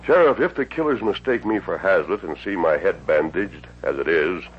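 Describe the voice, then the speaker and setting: An actor playing a resolute masked lawman in a radio western, with husky, measured, commanding speech and formal diction. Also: sits low, animated, slight background noise. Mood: calm, resolute. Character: resolute masked lawman hero of the Old West. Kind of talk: 1950s radio western acting. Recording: dull old radio recording.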